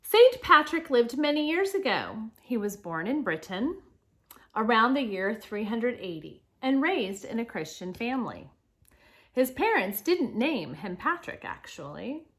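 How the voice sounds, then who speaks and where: Animated woman reading aloud to children. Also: on the high side, unhurried. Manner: addresses young listeners directly, explanatory. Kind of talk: children's picture-book read-aloud with casual asides.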